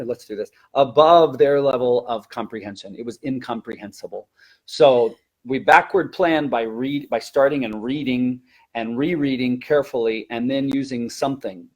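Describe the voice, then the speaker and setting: A man speaking as a language teacher and presenter in a conversational training webinar, emphatic, with rhetorical questions and stop-and-start phrasing. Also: mid-range voice.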